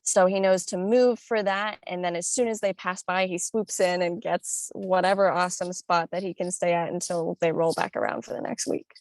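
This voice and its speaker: A young woman talks casually as a podcast guest, upbeat and chatty. Her voice carries a gravelly fry at the ends of phrases. The sound is dry and close, with no room echo. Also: on the low side, steady tone, moderate pace, hardly any pauses. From born in Italy, raised in northern Florida. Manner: chatty, upbeat, frequent "like" and laughs. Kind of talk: casual chat.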